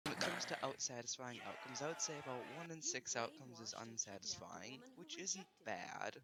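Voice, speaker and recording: A man's voice: medium pitch, huge pitch swings, moderate pace, vocal fry, over a noisy crowd. A man narrating a scripted video review in a critical, emphatic manner.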